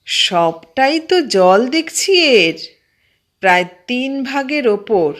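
Bossy, practical young woman, voiced by the female narrator; brisk, scolding, a notch higher than the narration. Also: sing-song, heavily acted. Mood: indignant. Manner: brisk, scolding, raised pitch. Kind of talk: audiobook character voice.

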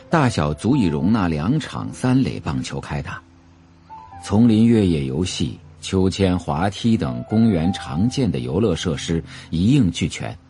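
A man's voice: sits low, dark tone. A man reading scripted narration for an audio drama, telling the story as a novel's narrator. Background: faint music.

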